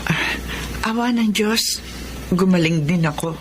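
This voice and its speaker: A woman's voice: on the low side, takes it slowly, slight background noise. An actress playing a devout older mother in a Filipino radio drama, hesitant, earnest and emotive. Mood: earnest pleading. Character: devout, anxious, pleading elderly mother. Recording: music behind the voice.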